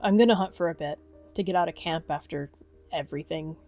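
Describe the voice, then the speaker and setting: A woman performs a young apprentice she-cat living in dread. Her voice is on the low side, uneasy and fragile, in an animal roleplay drama. Soft music runs behind her. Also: fairly quick. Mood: guarded unease. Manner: hushed, shaky, anxious. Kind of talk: fan roleplay audio drama.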